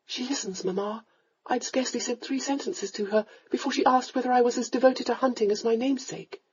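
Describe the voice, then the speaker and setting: A woman's voice: high voice, lively intonation, fairly quick, dark tone, lo-fi sound, a little hiss or hum, pitched above the performer's own voice. A woman voicing a reluctant young noblewoman answering her mother in a subdued, patient way. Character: tall, reluctant young noblewoman, weary daughter.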